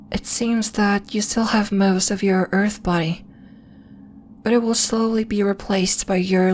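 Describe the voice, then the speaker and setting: A woman playing a serene, solemn alien moon healer in an ASMR roleplay, speaking soothingly in formal, deliberate phrases. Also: medium pitch, darkish tone, slight background noise, close to an everyday voice. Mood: serene, congratulatory. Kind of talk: ASMR roleplay, soft scripted monologue addressed to listener.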